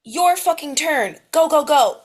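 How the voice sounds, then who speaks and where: A frazzled mother, read by a woman who curses and barks orders at the kids. Her voice sits fairly high and stays tense with hurry. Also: lively intonation, a little slow. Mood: harried. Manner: harried, barking orders. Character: frazzled, foul-mouthed mother running late.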